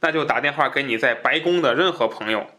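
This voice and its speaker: A male podcast host with a mid-pitched voice talks casually about books. His manner is colloquial and informal, with a readiness to chuckle at his own asides. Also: fast talker, sharp tone. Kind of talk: casual book talk show chat.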